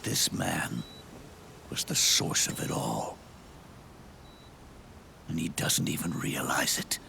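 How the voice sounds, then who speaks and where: Casting: aged, war-weary soldier, very low gravelly voice, solemn game monologue over music. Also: male voice, expressive, pushed and bright, slight background noise, heavily acted. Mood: weary, regretful. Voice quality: gravelly, breathy rasp. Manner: grave, deliberate, with weighty pauses. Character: old, world-weary soldier, solemn, reflective and regretful. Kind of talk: dramatic video game monologue. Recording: music under the voice. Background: cinematic music.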